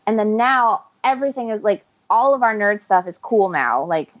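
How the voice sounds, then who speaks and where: A woman's voice: mid-range voice, lively intonation, normal speed. A woman from Ecuador, a guest author, chatting casually in an interview and often restarting her sentences. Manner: rambling, self-correcting, laughs often. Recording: muffled lo-fi audio.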